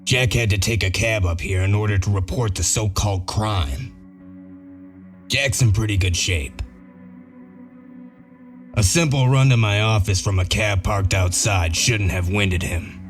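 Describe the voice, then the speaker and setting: Here is a man acting out a gruff, shrewd wolf detective with a low voice. He lays things out methodically and with a sardonic edge, in the manner of a comic dub monologue, over faint music. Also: a little slow, pauses often, close to an everyday voice. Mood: dry recounting.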